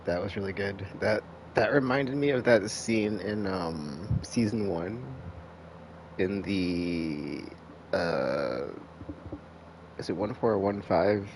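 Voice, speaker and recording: A man giving casual live commentary as a game streamer, rambling and halting, often restarting with "like". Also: deep voice, a little mellow, a little hiss or hum, rough recording. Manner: rambling, halting, heavy use of filler "like".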